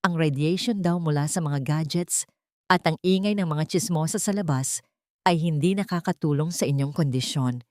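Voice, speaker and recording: A woman's voice: low voice, normal speed, a little mellow, no echo, clean studio sound, close to an everyday voice. A woman voicing a cold, controlling daughter-in-law who speaks sharply and condescendingly with false sweetness in an audio drama. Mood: falsely sweet.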